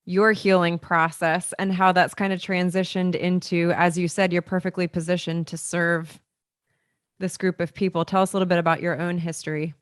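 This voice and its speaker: A woman's voice: fairly low pitch, little pitch movement, moderate pace, clean tone, no echo, studio quality. A woman hosting an interview, asking questions in an encouraging, affirming way. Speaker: interview host. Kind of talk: conversational interview.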